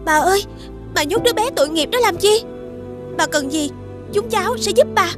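A woman playing a caring, sensible young elder sister, speaking politely and gently in a scripted children's fairy tale. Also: extremely high pitch, lively intonation, moderate pace, over music. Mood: pleading.

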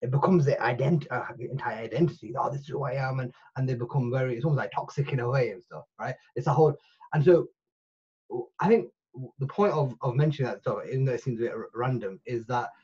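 A man's voice: mid-range voice, a little mellow, husky. A man, chatting as a podcast guest over a video call, circling back with many restarts and fillers. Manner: rambling, full of restarts and fillers. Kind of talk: casual podcast chat. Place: small room at home. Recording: muffled video call audio.

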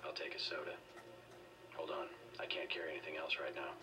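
An actor voicing a stoic, terse, deadpan secret agent in a video game, recorded off the game's speakers. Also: male voice, rumbling low, a little fast, recorded from a distance, noisy background. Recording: game audio picked up off speakers.

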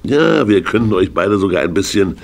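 A man's voice: deep voice, sing-song, moderate pace, creaky, darkish tone, exaggerated voice. A man playing a jovial, scheming, kindly uncle in a children's radio play, hearty and emphatic. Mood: persuasive. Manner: hearty, chuckling, emphatic with tag questions. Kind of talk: 1980 German children's radio play acting.

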